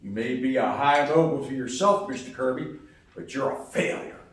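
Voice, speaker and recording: A man's voice: medium pitch, moderate pace, lightly acted. Man playing a folksy grandpa in an echoey hall. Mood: indignant. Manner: plain-spoken, homespun, scolding then apologetic. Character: folksy elderly grandfather, gently stubborn, outspoken. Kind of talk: live stage radio play acting. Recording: echoey hall from far off, noisy.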